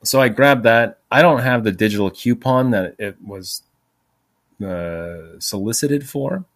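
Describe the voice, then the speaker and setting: A man's voice: mid-range voice, unhurried. A man in his forties telling a rambling, casual story, chatty and self-mocking, with some swearing. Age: 40s. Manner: chatty, profane, self-mocking, drifts off topic. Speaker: podcast host.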